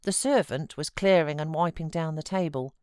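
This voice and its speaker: A woman with a British accent giving measured, expressive literary narration as an audiobook reader. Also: low voice, clean tone, no echo, studio quality.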